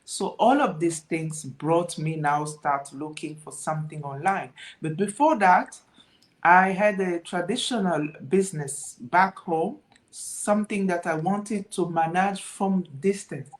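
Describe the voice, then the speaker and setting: Reflective woman on a call. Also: lowish voice. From Democratic Republic of Congo. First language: French. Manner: reflective, fills pauses with "you know". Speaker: guest entrepreneur. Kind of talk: casual interview storytelling.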